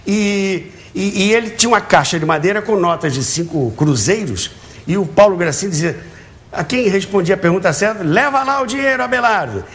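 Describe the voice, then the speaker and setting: A man's voice: high-pitched, lively intonation, brisk, a bit bright. A man, eagerly telling anecdotes as an interview guest, repeating words and asking rhetorical questions. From Brazil, Rio de Janeiro. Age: early 70s. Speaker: talk show guest, author of a book on radio theatre. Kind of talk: animated anecdotal storytelling in an interview. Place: TV talk show studio with audience.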